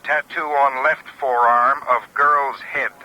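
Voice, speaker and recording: A man's voice: mid-range voice, steady tone, slow pace, lo-fi sound. An American man reading a scripted 1950s radio bulletin item by item in a clipped, impersonal manner. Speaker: radio announcer reading a wanted notice.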